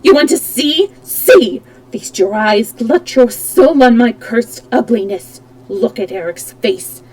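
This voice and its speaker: A woman's voice: on the high side, takes it slowly, warm tone, poor audio. A woman reading aloud, voicing a tormented, disfigured masked man who roars, taunts and pleads. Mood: taunting. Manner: roaring, theatrical, alternately pleading and menacing.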